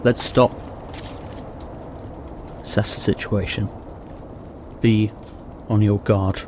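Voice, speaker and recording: A man playing a guarded, steady mounted warrior, terse and commanding, in a radio play. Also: on the low side, slow, noisy background. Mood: wary.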